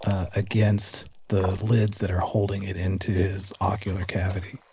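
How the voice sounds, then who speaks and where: A man's voice: sits low, little pitch movement, moderate pace, warm tone, slight background noise. A man narrating an improvised tabletop horror game as game master, in a casual, slangy and vivid way.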